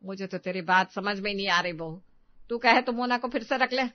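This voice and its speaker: A woman's voice: medium pitch, brisk, dry recording, dull audio, natural voice. A woman voicing an older Indian mother-in-law, fond but fretful, exclaiming and questioning in a family story. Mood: exasperated. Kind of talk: Hindi family story acting.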